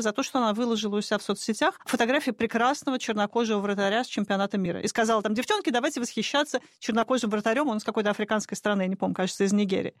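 A woman in a casual talk-show conversation, speaking in a brisk, indignant and animated way. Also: fairly high pitch, dry recording, clean studio sound.

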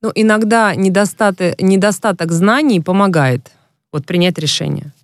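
A woman's voice: medium pitch, moderate pace, dry recording, clean studio sound. Frank, reflective woman telling stories. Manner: frank and reflective, storytelling at length. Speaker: interview guest, former pro basketball player. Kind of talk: casual interview talk.

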